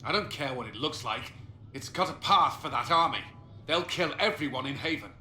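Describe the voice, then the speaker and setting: A man's voice: a little high, lively intonation, moderate pace, sharp tone. A man playing a grim, resolute commander in a fantasy game, speaking with grave authority. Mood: urgent. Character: grim, resolute military commander of a besieged force. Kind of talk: dramatic fantasy game acting. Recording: echoey, with faint ambient noise.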